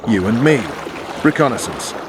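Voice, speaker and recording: An actor playing a gruff, brash soldier in a video game cutscene, speaking in a blunt, brisk way. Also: male voice, medium pitch, animated, lightly acted. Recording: loud rushing water and boat noise.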